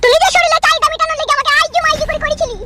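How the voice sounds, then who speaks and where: Woman acting shrill, vengeful scorned village mistress. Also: racing. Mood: vengeful, furious. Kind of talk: amateur Bengali cartoon melodrama. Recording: loud music under the voice.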